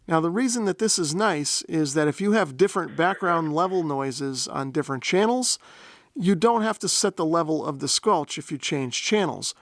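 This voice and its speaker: A man's voice: on the high side, lively intonation, normal speed, no echo, clean studio sound. A man narrating a product demonstration in a methodical, step-by-step explanatory way. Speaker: host of a radio gear review channel.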